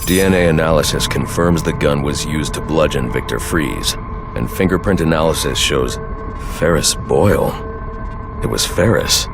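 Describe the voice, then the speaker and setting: A man playing a grim, brooding vigilante detective in a video game, speaking in a terse, stern, deliberate way with a gravelly voice. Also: rumbling low. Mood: analytical. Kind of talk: video game dramatic acting. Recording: loud music behind the voice.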